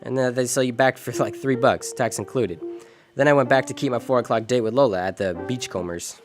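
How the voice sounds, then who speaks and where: An actor playing a world-weary, decent American private detective in an old-time radio drama, wry and clipped. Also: male voice, medium pitch, brisk, faint music behind, close to an everyday voice. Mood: wry narration. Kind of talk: 1940s detective radio drama, first-person narration and dialogue.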